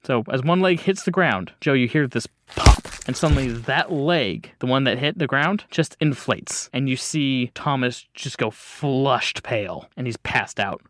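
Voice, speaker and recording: A man's voice: medium pitch, animated, measured pace, few pauses, a bit bright, slight background noise. A man narrating a tabletop horror game as game master, describing scenes vividly and off the cuff.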